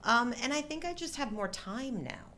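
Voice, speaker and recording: A woman's voice: high-pitched, expressive, moderate pace, bright, forceful tone, slight background noise. A middle-aged woman chatting casually as co-host of a live show. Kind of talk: casual chat.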